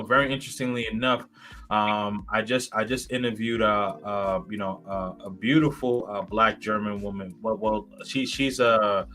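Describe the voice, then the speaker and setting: A man's voice: mid-range voice, sharp tone. A man in his thirties, a guest in a casual interview, rambling with many fillers and restarts. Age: 30s.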